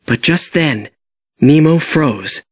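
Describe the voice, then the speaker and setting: A man reading a children's story as an expressive, scripted read-along narration. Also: on the high side, slow pace, dry recording, studio quality. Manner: animated storytelling.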